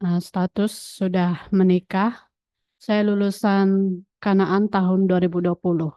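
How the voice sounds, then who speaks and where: A woman from West Kalimantan giving a brief, measured self-introduction over a video call. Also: mid-range voice, little pitch movement, warm tone, no echo, studio quality.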